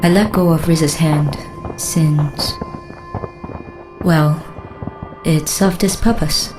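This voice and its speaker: A woman's voice: sits low, steady tone, drawn-out words, music in the background. An actress playing a wry, observant female adventurer, narrating in the first person for an audio drama. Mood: observant, composed.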